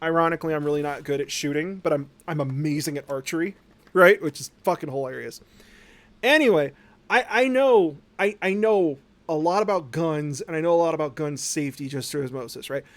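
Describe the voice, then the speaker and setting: A man hosting a livestream, rambling casually in an animated, sarcastic, sweary storytelling way. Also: fairly high pitch, brisk, no room sound. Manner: acts out mocking quotes. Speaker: livestream host.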